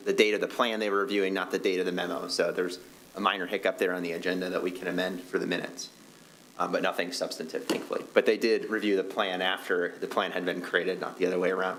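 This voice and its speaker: A man on city staff presenting a report to a commission, explaining procedure and filling his pauses with uhs and ums. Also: low-pitched, a bit bright, a little hiss or hum, low-quality recording. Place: city meeting chamber.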